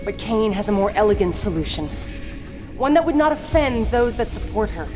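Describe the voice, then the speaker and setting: An actress playing a fanatically loyal, jealous military officer in a video game, with an intense, dramatic, clipped delivery. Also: female voice, medium pitch, sing-song, normal speed, lightly acted. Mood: furious, jealous. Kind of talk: video game cutscene acting. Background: loud dramatic music.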